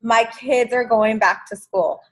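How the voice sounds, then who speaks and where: A woman's voice: fairly high pitch, a little slow, rough recording. A woman in her fifties hosting a sales training video call, chatty and encouraging. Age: 50s. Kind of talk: casual video call meeting.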